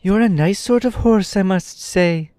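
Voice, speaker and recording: A man voicing a bossy, impatient young boy in a storybook reading, talking high and shrill. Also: unhurried, no room sound, studio quality. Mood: exasperated. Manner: shrill, exasperated shouting.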